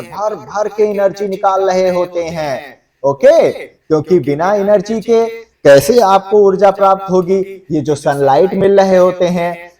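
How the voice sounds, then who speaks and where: A man's voice: sits high, mellow, far from the mic. A man teaching exam students in an explanatory, conversational lecture, often checking that they follow. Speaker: exam-coaching geography teacher.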